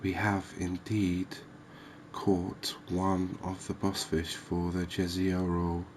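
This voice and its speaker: Male ASMR game host, soft and unhurried, very low register, monotone delivery. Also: slight background noise. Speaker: gaming video host.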